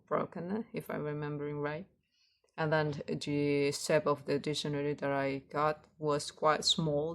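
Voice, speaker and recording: A woman with a low voice and an Indonesian accent rambles casually, as if practicing her speaking for a vlog. She speaks haltingly, searching for the right word and circling back over her phrases. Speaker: vlogger.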